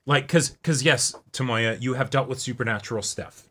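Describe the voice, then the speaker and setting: Dude co-hosting a reaction chat, bright punchy voice, agreeable, jumps in a lot. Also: male voice, medium pitch, normal speed. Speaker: co-host of an anime reaction show. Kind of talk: casual back-and-forth discussion.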